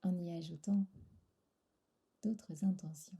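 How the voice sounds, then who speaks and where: Woman, guided meditation, soothing and hushed, breathy, creaky low-energy edge, unhurried short phrases, very mellow tone. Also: fairly even intonation. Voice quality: breathy, soft. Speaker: yoga nidra instructor. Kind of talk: guided relaxation meditation, soft-spoken.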